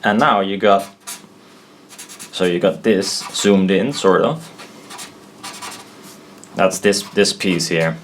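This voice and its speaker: A man giving an informal technical tutorial as he draws, halting, thinking aloud and correcting himself as he goes. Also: sits low, a little hiss or hum. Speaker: presenter of a hobbyist explainer video.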